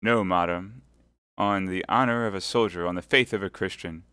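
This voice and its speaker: Male reader as a solemn, upright soldier; low, measured, dry. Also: pushed and bright, clean tone, dry recording. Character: serious devout young soldier, grave and upright.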